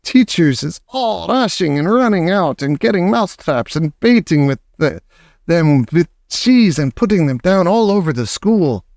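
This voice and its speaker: A gloating old witch queen, put on by a man reading aloud, barks orders in broken, clipped grammar. Her voice climbs high and swings wildly as she slowly savors every cruel detail. The sound is dry and close. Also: expressive, dry recording. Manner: broken grammar, shouting triumphantly. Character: gloating old female witch leader, screeching.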